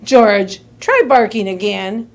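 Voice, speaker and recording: A woman's voice: medium pitch, takes it slowly, pitched below the performer's own voice. A woman voicing a worried, exasperated mother dog in a children's story, pleading and exclaiming dramatically. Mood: coaxing, worried. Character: worried, exasperated mother dog fussing over her son.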